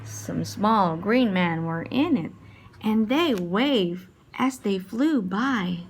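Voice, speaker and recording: A woman reading a children's picture book aloud in an expressive, animated and unhurried storytelling manner. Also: medium pitch, husky. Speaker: storybook reader.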